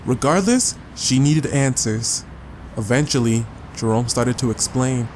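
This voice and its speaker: A man's voice: medium pitch, measured pace, darkish tone, slight background noise, low-quality recording. A man reading a scripted short story as a dramatic audiobook narrator. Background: music.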